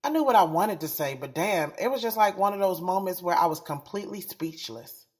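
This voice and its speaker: A woman's voice: fairly low pitch, expressive, fairly quick, a little mellow, breathy edge. A woman playing a guarded, frank young professional who confides her story in slangy first-person audio drama narration. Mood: moved, stunned.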